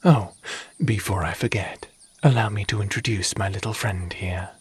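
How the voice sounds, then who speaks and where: A man playing a calm, dignified, diplomatic god in an audio roleplay, speaking in a formal, measured and gently admonishing way. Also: lowish voice, slightly rough. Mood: pleasant.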